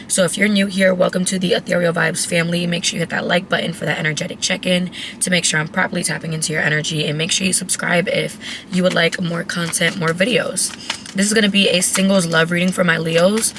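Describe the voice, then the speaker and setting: A woman who has lost her voice, raspy and cracking, giving a chatty, blunt tarot reading to her viewers. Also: fairly low pitch, level tone, fast talker, background noise. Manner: chatty, blunt, swears casually. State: hoarse, voice cracking. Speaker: tarot reader. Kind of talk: casual tarot reading to camera.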